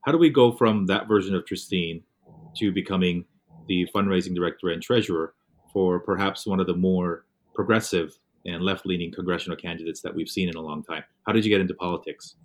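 A man with a very low voice hosts a casual interview. He sounds upbeat and eager as he introduces his guest and asks what brought her to the work. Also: brisk. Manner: upbeat, eager, leading questions. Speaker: interview host.

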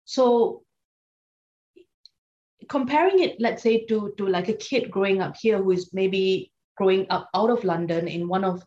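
A woman's voice: medium pitch, measured pace, warm tone, a little hiss or hum. A woman, a law lecturer answering interview questions thoughtfully, with frequent fillers and restarts. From Singapore. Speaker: law lecturer, podcast guest. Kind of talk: conversational interview. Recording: dull lo-fi sound.

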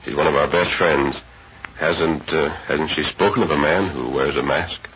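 An actor playing plain-spoken men of the West, a drawling ranch hand and a masked hero, in old radio drama style. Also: male voice, extremely low pitch, fairly even intonation, normal speed, fairly bright tone. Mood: calm, commanding. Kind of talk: old-time radio western acting. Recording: muffled, noisy old radio recording.

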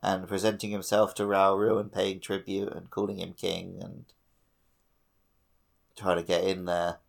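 Very deep, creaky-voiced male podcast host. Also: completely flat delivery, measured pace. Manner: informal, explanatory. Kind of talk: casual game discussion.

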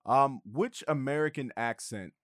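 A man co-hosting a podcast, chatting casually in an animated way and tripping over his words. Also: mid-range voice, a little slow, no echo, clean studio sound. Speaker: podcast co-host. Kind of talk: casual chat.

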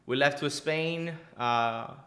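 A man's voice: medium pitch, slow, fairly bright tone, echoey room. A young man from Cuba, a podcast guest, talking casually and animatedly, repeating words for emphasis. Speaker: podcast guest, entrepreneur and coach. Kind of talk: casual interview conversation.

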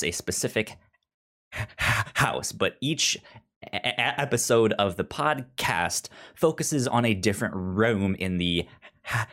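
Halting, stuttering podcast host in casual discussion. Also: low-pitched. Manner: halting, with frequent stuttered repeats of sounds and words.

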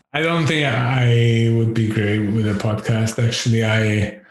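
A man with a deep voice who is a podcast guest, chatting casually, thinking aloud with fillers and laughing often, on a headset mic. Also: steady tone, slow pace, reverberant, crowd noise. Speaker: podcast guest, education researcher. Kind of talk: casual interview chat. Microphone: over-ear headset with boom mic.

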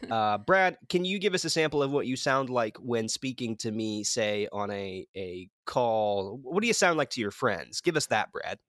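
A man hosting a podcast in a casual, playful, excitable chatting style. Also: mid-range voice, moderate pace, hardly any pauses, dry recording, clean studio sound. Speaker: podcast host.

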